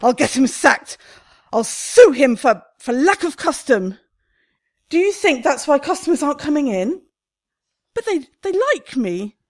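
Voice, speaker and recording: Woman acting a high-pitched, highly strung shop owner. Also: big pitch swings, unhurried. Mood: indignant. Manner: agitated, melodramatic. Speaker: actor in a radio play. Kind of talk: radio comedy acting.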